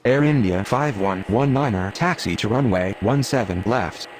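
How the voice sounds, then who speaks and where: Casting: male actor as official air traffic voice for a game, fairly low, steady clipped calls. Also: normal speed, natural voice. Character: male airport radio operator, steady and official. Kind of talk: clipped aviation radio phraseology.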